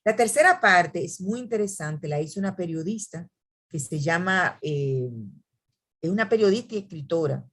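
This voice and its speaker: A woman's voice: lowish voice, animated, normal speed, fairly bright tone. A woman author presenting a book at an academic event, speaking in a hesitant way with many fillers and restarts.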